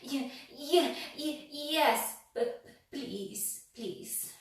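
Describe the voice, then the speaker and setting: A woman's voice: high-pitched, takes it slowly, pitched above the performer's own voice. A woman voicing a timid, jumpy little man who shrieks and stammers in panic, recorded in an echoey room from a distance. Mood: stammering.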